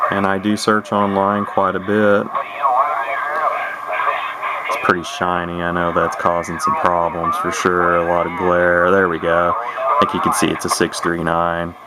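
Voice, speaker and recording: Low-voiced man over clear background noise. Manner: hesitant, halting, repeats words. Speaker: radio collector presenting his own equipment. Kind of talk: rambling casual product showcase. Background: radio speaker playing static.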